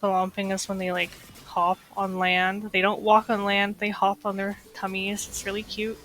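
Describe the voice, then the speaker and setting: A woman's voice: medium pitch, monotone, moderate pace, a bit bright, a little hiss or hum, low-quality recording. A woman with a slightly nasal, stuffy voice from being sick, chatting casually over her gameplay with lots of fillers. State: recovering from illness, slightly stuffy. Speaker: gaming streamer.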